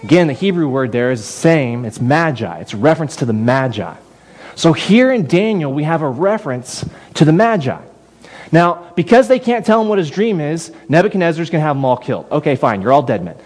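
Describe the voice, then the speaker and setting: A man's voice: a little high, big pitch swings, brisk, darkish tone. A man preaching a sermon in a conversational way, often repeating and restarting words. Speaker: pastor.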